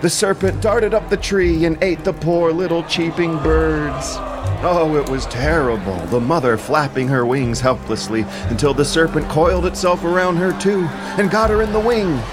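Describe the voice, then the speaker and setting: An actor playing a wily Greek commander in an audio drama, rallying weary soldiers with theatrical, coaxing, ornate storytelling. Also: male voice, a little high, moderate pace, lightly acted. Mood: vivid, grave. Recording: music under the voice.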